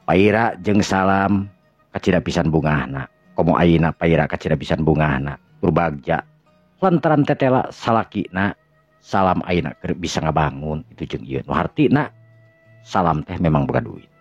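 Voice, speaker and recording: A man with a Sundanese accent and a gravelly, husky voice, narrating a tale in the manner of a radio storyteller. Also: low-pitched, animated, moderate pace, dry recording. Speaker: storyteller and channel host. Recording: dull lo-fi recording with music. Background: music.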